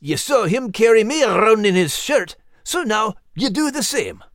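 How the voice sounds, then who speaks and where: A man voicing a loyal, protective dog with a brisk, hearty, encouraging manner in a storybook. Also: sits high, very animated, fairly bright tone, no echo, studio quality, pitched above the performer's own voice. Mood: steadying.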